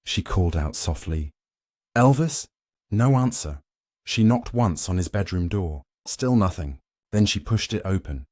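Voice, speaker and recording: A man's voice: sits low, expressive, measured pace, darkish tone, no room sound, clean studio sound. A man narrating a scripted dramatic story in a solemn, suspenseful way, in short weighted phrases.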